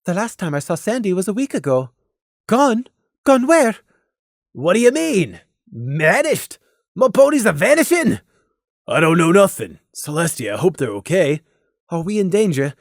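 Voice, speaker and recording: A man's voice: high-pitched, big pitch swings, moderate pace, dry recording, studio quality, voice pushed up. A man voicing anxious small-town pony residents in a fretful, folksy manner.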